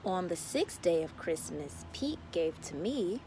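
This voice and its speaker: Woman's lively sing-song children's read-aloud. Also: fairly low pitch, unhurried, background noise. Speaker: read-aloud story time host.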